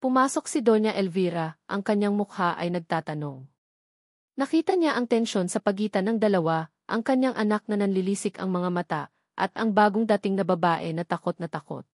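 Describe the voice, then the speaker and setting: A woman reading a dramatic story in measured, scripted narration. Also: mid-range voice, animated, no room sound, clean studio sound.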